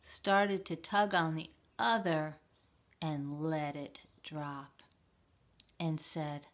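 A woman reading a children's picture book aloud in a deliberate, expressive storytime style. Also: low-pitched. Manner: deliberate, expressive, with playful sound words. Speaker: storytime reader at a library.

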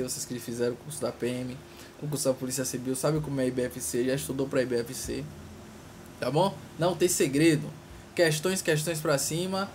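Guy in his 30s vlogging exam news on his phone, slightly high voice, bit of noise behind him. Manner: repeated tag questions, emphatic repetition. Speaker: vlogger on public service exams. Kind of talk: informal news update to camera. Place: small tiled utility room indoors. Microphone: phone at arm's length. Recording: noisy small-room phone recording.

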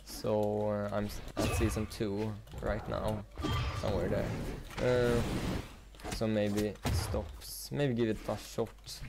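A teenage man with a Swedish accent, a native Swedish speaker, chatting casually while playing a game, rambling with many fillers and pauses. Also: lowish voice, little pitch movement, gravelly, loud music behind the voice. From Sweden. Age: teens. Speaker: gaming YouTuber. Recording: loud noise behind the voice.

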